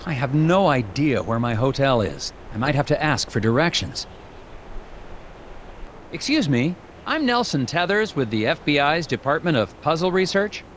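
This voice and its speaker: An earnest, polite government investigator in a video game. His voice is on the high side and lively in pitch, officious yet a bit awkward and hesitant, over faint noise. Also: moderate pace. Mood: polite, uncertain. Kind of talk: video game character dialogue and dictated reports.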